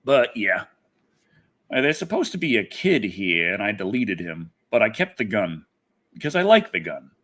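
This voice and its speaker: A man's voice: fairly low pitch, big pitch swings, normal speed, a bit bright. Rambling man hosting a livestream. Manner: rambling, repeats phrases for emphasis. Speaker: internet personality, livestream host. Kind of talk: casual livestream chat, answering viewers.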